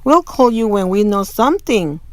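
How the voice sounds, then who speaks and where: A woman's voice: medium pitch, expressive, moderate pace, darkish tone, no echo, close to an everyday voice. A woman voicing a self-important male doctor in a children's storybook, speaking with an authoritative, pompous manner.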